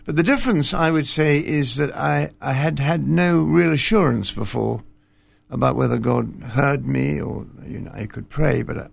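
An older man from Scotland, a retired bishop, talking gently and self-deprecatingly as a studio interview guest, with fillers and pauses. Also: mid-range voice, gravelly, dry recording. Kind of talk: relaxed interview conversation. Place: television studio.

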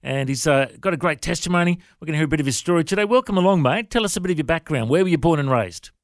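A man hosting an interview, asking casual, relaxed questions. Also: on the high side, racing, no echo, clean studio sound. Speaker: interview host. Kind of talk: conversational interview.

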